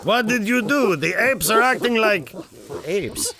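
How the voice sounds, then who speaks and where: A man's voice: high voice, lively intonation, unhurried, crowd noise. An actor playing a gloomy, sardonic male sidekick with a dry, grumbling manner in an adventure audio drama. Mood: alarmed.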